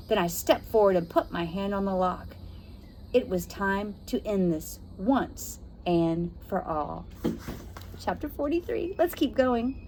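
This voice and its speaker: A woman reading a spooky children's novel aloud, narrating dramatically with expressive, suspenseful, deliberate phrasing. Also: mid-range voice, a little hiss or hum, low-quality recording. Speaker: storybook reader.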